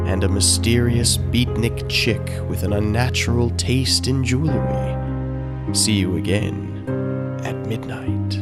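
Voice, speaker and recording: A man narrating from a script, as the announcer who closes an audio drama show. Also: mid-range voice. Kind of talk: scripted narration, reading closing credits. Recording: loud music under the voice. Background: new-age instrumental music.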